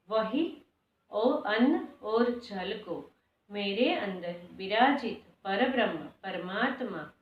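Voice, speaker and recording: A woman leading a guided meditation, speaking prayers and affirmations slowly and deliberately in short, repeated phrases. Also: mid-range voice. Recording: echoey room, distant mic, dull sound.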